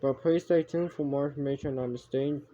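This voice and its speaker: A man's voice: on the high side, steady tone, warm tone. Muffled man improvising emergency alerts. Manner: halting, repeats and corrects himself. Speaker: amateur narrator of a homemade emergency alert scenario. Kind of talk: improvised mock emergency broadcast announcements. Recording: muffled homemade recording with background noise.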